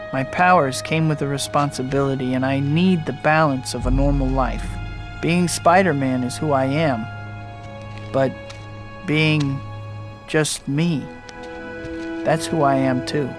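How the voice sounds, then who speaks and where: Earnest, higher-voiced young superhero played by an actor. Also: male voice, natural voice. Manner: earnest, dry. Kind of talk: video game voice acting. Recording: prominent music behind the voice.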